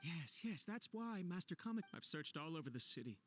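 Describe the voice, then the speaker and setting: An actor plays an anxious anime game retainer. The voice sits at a middle pitch and sounds hesitant, deferential and easily flustered, with polite formal phrasing. Faint ambience sits behind it. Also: sing-song, fairly quick, slight background noise, close to an everyday voice. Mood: nervous. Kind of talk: anime video game voice acting.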